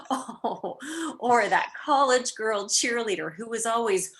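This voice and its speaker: A woman's voice: fairly high pitch, expressive, slow pace, a bit bright. A woman playing a clever, arch young socialite wife in a vintage-style radio play. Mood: playful teasing.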